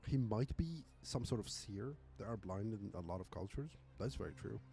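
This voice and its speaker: A man's voice: lowish voice, lively intonation, normal speed, vocal fry, mellow, faint music behind. A man streaming a game, giving casual running commentary.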